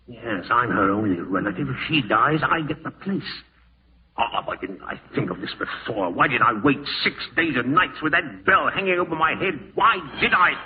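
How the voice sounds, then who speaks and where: An actor playing a callous, scheming man in a 1940s radio horror drama, muttering to himself and snappish, with melodramatic delivery. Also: a little high. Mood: panicked. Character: scheming, callous male relative plotting murder, panicking and irritable. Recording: scratchy, tinny old-time radio broadcast.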